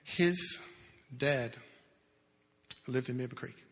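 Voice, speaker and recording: A man in his sixties, not a native English speaker, telling his life story earnestly as a testimony in church, with halting restarts. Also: mid-range voice, fairly bright tone. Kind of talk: personal testimony talk. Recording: echoey hall with long reverb.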